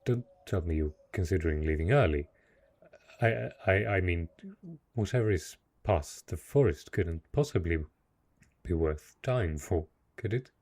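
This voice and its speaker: A man acts out a dark knight, lord of a lonely castle, in a fantasy romance roleplay. His voice is very low, dark and husky. He speaks formally and earnestly, with a possessive streak and a hesitant delivery. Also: lively intonation, a little slow, exaggerated voice. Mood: pleading, anxious.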